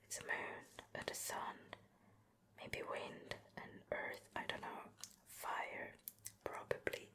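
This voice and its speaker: A woman hosting an ASMR show and tell in a soft, breathy whisper, chatting casually and unhurriedly close to the microphone. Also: slow pace. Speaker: ASMR host. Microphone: close ear-to-ear microphone.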